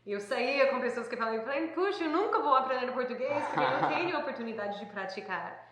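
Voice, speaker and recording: A woman's voice: sits high, animated, fast talker, background noise. A woman from the United States, a native English speaker, chatting casually and easily. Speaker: guest. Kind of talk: casual conversation. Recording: very echoey room.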